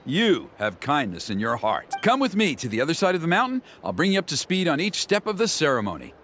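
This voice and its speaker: Dramatic middle-aged dad from a tribe, gossipy and theatrical, really animated up-and-down voice. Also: male voice, fairly high pitch, brisk, a little hiss or hum. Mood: eager. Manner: dramatic storytelling, gossipy grumbling.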